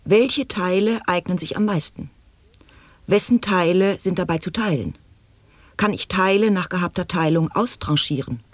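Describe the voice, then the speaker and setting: An actress in a 1980s radio play, posing formal questions in a measured, earnest way. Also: female voice, on the low side, no echo, close to an everyday voice. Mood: questioning. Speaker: voice in a sound-poetry radio play. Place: dry radio studio.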